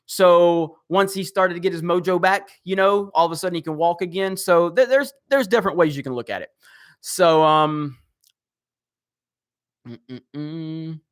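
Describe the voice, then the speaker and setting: A man's voice: sits high, unhurried, clear, resonant tone. A man hosting a live stream, reading comments in a rambling, casual way with lots of fillers and chuckles.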